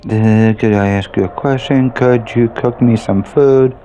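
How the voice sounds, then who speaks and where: A man's voice: medium pitch, fairly even intonation, a little slow, warm tone, low-quality recording. A man giving casual, chatty, rambling commentary as he plays through a video game. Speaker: gaming video host and reviewer. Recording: faint background game noise. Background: video game ambience.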